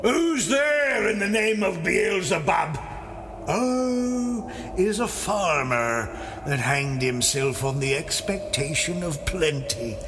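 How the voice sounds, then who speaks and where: An actor playing a drunken, bawdy, grumbling castle porter in a theatrical Shakespearean audiobook performance, exclaiming and rambling. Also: male voice, high voice, lively intonation, takes it slowly, exaggerated voice. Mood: mocking, bellowing. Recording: clear background ambient noise.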